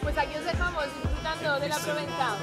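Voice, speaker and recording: A woman's voice: sits high, steady tone, fairly quick, loud music behind the voice, over a noisy crowd. A woman reporter doing a casual, conversational interview on location.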